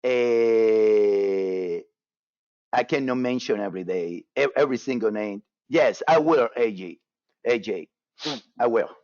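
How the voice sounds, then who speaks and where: A man's voice: fairly low pitch, slow pace, darkish tone, dry recording. Cuban-born guy running a trading webinar, keeps restarting, dry muffled sound. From Cuba. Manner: casual, repetitive, filler-heavy with many restarts. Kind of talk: live webinar talk.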